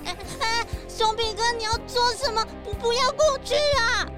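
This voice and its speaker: Little cartoon critter, extremely high squeaky voice, timid, fretful, music behind. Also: female voice, moderate pace. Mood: distressed. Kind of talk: children's detective radio drama.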